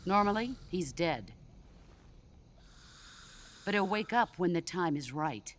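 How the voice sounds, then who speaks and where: Grave soldier over noisy ambience. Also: female voice, on the low side, lively intonation, slow pace. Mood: solemn. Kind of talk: video game cutscene acting. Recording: noisy outdoor ambience behind voice.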